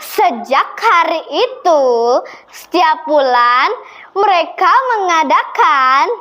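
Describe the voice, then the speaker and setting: A young girl telling a children's story in a deliberate, dramatic and expressive way. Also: very high voice. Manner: slow, deliberate, expressive delivery. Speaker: storytelling contest participant.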